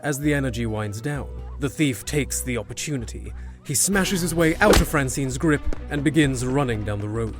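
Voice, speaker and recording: A man's voice: mid-range voice, expressive, normal speed. A man narrating a scripted fantasy audio drama, with music underneath.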